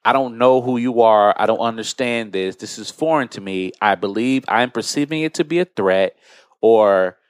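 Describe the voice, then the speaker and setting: Low-voiced American man hosting a podcast. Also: moderate pace, clean tone, dry recording, clean studio sound. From United States. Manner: explanatory, recounting. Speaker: podcast host. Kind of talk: conversational podcast discussion.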